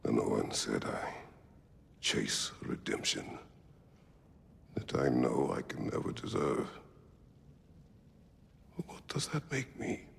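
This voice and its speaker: A weary warrior god from a dramatic video game, grave and full of remorse. His slow speech swings hugely from near-whispers to force, with thick gravel in it, inside a long cavernous reverb. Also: fairly bright tone, slight background noise, full character voice. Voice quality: deep, gravelly. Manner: slow, halting, solemn, dropping to breathy near-whispers.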